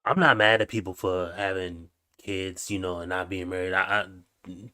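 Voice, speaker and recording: A man's voice: deep voice, steady tone, normal speed, sharp tone, clean tone. A man hosting a reaction video, musing aloud in slangy, wry casual commentary. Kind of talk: casual commentary over clips.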